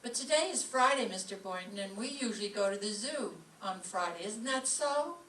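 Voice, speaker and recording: A woman plays a wry, sharp-tongued schoolteacher in an old-time radio comedy. She delivers her lines with deadpan sarcasm. The sound is distant and echoey, with faint noise. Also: medium pitch, normal speed, close to an everyday voice. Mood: dry, wry. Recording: distant roomy stage recording, faint noise.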